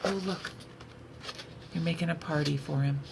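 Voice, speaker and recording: A woman reading a picture book aloud for children in an expressive, unhurried storytelling manner. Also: low-pitched, vocal fry. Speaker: storytime reader. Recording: noticeable background noise.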